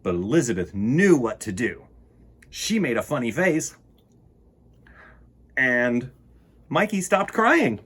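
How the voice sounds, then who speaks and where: A man's voice: medium pitch, big pitch swings, slow pace, long pauses, a bit bright, breathy edge. A man hosting a children's storytime, reading and chatting to young listeners in a playful, whimsical, rambling way. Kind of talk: picture-book reading and playful chat to children.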